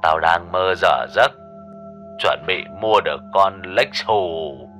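A man voicing a coarse, joking middle-aged man with a gruff manner in a spoken story. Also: low voice, expressive, slow pace, fairly bright tone, soft background music, voice pushed down, heavily acted. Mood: brusque.

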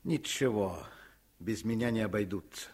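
A man's voice: fairly low pitch, normal speed, a little mellow, breathy edge. A man playing an earnest, stubborn, caring middle-aged doctor in a Soviet radio play, speaking with emphasis. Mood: resigned.

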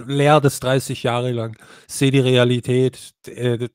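A man's voice: mid-range voice, flat delivery, slow, darkish tone, clean tone, dry recording, clean studio sound. A male podcast co-host arguing bluntly and dismissively. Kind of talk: casual debate.

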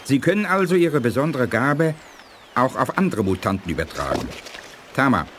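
Faint noise sits around a man acting as a dignified alien scientist in an eighties radio play. His mid-pitched voice is calm and courteous, with formal, measured phrasing. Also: close to an everyday voice. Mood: encouraging, instructing. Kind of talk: 1980s German radio drama acting.

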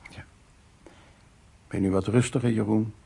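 Calm, very raspy, gravelly gentleman. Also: on the low side, heavily acted. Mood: calm, conciliatory. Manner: calm, terse, measured. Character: composed, dry, tolerant mature man, rival in love. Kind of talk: naturalistic radio play acting.

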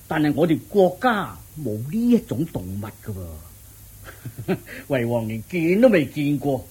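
An eager, jovial king in a Hong Kong Cantonese radio play. The voice is badly husky and leaps about in pitch, with slow, drawn-out delivery and repeated exclamations of wonder. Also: sing-song, warm tone, full character voice. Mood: pleased, impressed. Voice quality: husky, rough voice. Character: excitable, jovial king, curious and easily delighted. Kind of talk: radio drama acting.

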